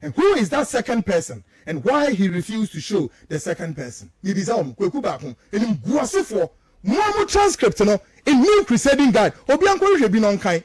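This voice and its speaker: Ghanaian guy on talk radio, high voice, swings up and down a lot, hammering points and asking questions over and over. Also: very animated, fairly quick, a little mellow. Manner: emphatic, repetitive, rhetorical questioning. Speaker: radio talk show host. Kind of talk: impassioned political commentary.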